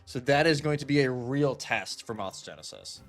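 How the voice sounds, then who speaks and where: Male esports desk analyst, medium pitch, casual breakdowns and questions over soft music. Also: normal speed. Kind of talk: casual match analysis and interviewing.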